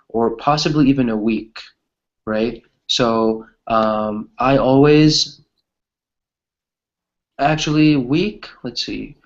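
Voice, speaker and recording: Male English teacher, slightly low, muffled audio. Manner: encouraging, ends phrases with tag questions. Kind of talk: online group grammar lesson, explaining with examples.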